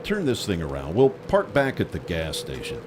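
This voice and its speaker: An actor playing a stern tactical commander giving crisp orders in an audio drama. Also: male voice, deep voice, huge pitch swings, normal speed. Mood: stern, commanding. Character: authoritative male commander of a tactical team. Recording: noisy outdoor car and traffic ambience.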